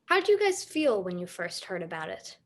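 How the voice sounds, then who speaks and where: A woman's voice: on the low side, big pitch swings, fairly quick. A young woman, still a student, delivering scripted first-person narration for a stage play. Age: teens to young adult.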